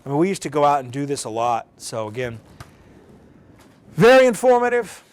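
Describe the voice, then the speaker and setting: Higher-pitched American man, folksy and conversational. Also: very animated, moderate pace. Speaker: presenter of a weather radio programming demonstration. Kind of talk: informal live demonstration, explaining off the cuff.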